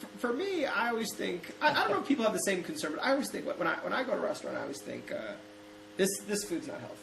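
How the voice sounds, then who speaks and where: A man hosting a casual chat, posing hypothetical questions with many halting restarts. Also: high-pitched, sing-song, vocal fry, echoey room, background noise. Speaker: host asking hypothetical questions.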